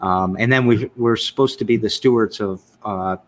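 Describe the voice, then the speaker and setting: A man's voice: fairly low pitch, moderate pace. A man with a Michigan accent hosting a casual interview, talking enthusiastically and rambling through his thoughts. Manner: rambling, enthusiastic, trails off and restarts. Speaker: show host.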